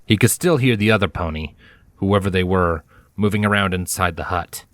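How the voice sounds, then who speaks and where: A man with a low voice narrates fiction from a script. He reads as a storyteller, and the recording is dry, with very little room sound. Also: moderate pace, fairly bright tone, no room sound.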